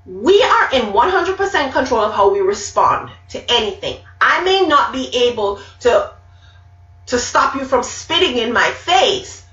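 Middle-aged woman, Jamaican accent, high voice, emphatic live Bible talk, roomy distant webcam sound. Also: sing-song, unhurried, a bit bright, lo-fi sound. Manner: emphatic, repeats key words, gestures a lot. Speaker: speaker. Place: small room indoors. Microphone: webcam at arm's length.

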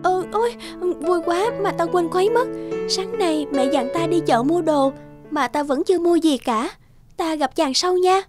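Very high, girlish village beauty. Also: measured pace, soft background music. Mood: delighted. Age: young adult. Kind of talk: Vietnamese folk-tale cartoon dubbing.

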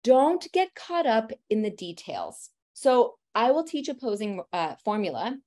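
A woman in her thirties, a family photographer, giving a lecture on posing in a lively, direct and encouraging way. She talks a lot and has a loud voice. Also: a little high, unhurried. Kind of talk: presentation lecture.

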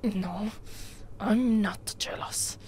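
A woman with an Italian accent playing a haughty, possessive immortal vampire who rules a castle, in a soft, imperious, often whispered ASMR roleplay. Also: mid-range voice, slight background noise. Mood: possessive, stern. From Italy. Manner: imperious, slow and deliberate.

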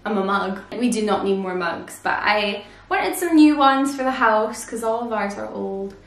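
A woman's voice: medium pitch, lively intonation, moderate pace, reverberant. A woman in her twenties chatting in a bubbly, giggly way as she shows off her shopping at home. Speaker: vlogger. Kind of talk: casual haul chat. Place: living room at home.

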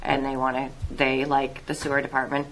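A woman's voice: extremely low pitch, monotone, brisk, poor audio. A woman explaining things informally and with careful, hesitant diplomacy as an official at a public meeting.

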